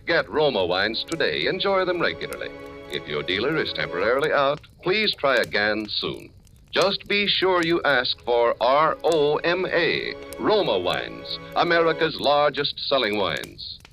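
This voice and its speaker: A man reading a 1940s radio advertisement as a genial, emphatic pitchman on an old recording. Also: wildly swooping pitch, measured pace, over music. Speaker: commercial pitchman.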